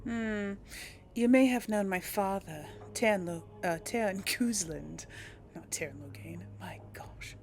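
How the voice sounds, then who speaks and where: A woman's voice: mid-range voice, slow, gravelly, a little mellow. A woman hosting a casual role-play Let's Play, chatting and thinking aloud as she plays. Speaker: gaming host. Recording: faint noise, game ambience behind.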